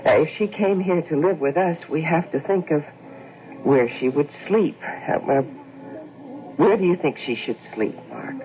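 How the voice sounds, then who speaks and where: A woman's voice: low-pitched, normal speed, natural voice. A woman playing an anxious, insistent housewife in a radio drama, homely and fretful in manner. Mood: careful. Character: anxious, insistent housewife, a devoted mother and daughter. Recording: tinny, noisy old radio recording.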